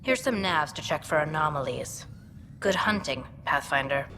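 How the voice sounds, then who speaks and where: A woman's voice: low voice, animated, normal speed. A woman playing a composed, formal scientist with a tactician's mind in a video game. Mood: earnest, composed. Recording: long reverb, clear background noise.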